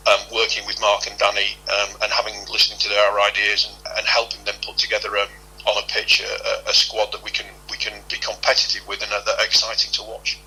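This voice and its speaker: A man's voice: on the low side, completely flat delivery, a little fast, bright, forceful tone, breathy edge, rough recording. A middle-aged man answering interview questions as a football club official, explaining at length and repeating himself as he goes. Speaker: football club head of operations, interview guest.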